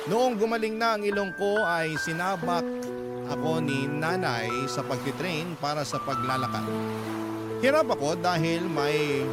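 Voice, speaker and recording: A Filipino man narrates a dramatic story in the first person, as a radio host would. His voice is on the high side for a man, and loud music plays beneath it throughout.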